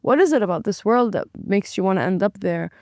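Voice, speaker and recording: A young woman from Lebanon tells her story as a restaurant owner and guest. She is chatty and animated, and she acts out her own thoughts as she goes. Her voice is smooth and clear in a dry, close recording. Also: medium pitch, fast, no room sound. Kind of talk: casual interview.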